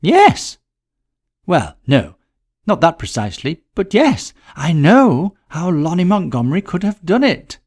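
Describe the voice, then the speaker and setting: A man's voice: on the high side, huge pitch swings, unhurried, darkish tone, voice pushed up, full character voice. A man voicing a genteel, shrewd lady detective in a mystery story, polite, thoughtful and probing. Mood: excited realisation.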